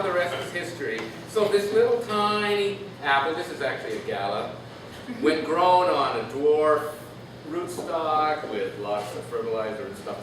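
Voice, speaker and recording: A man speaks at a live poetry reading with a deliberate, unhurried delivery. His voice sits at a medium pitch. It reaches the microphone from a distance, through heavy room echo and clear background noise. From Canada. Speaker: poet reading from own work.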